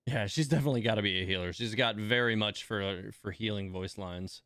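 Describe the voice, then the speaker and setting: Woman streaming game reactions, really deep voice, dry mic, just chatting and thinking out loud. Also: brisk, dry recording. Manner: conversational, musing. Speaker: livestream host. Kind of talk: casual reaction commentary on game voice lines.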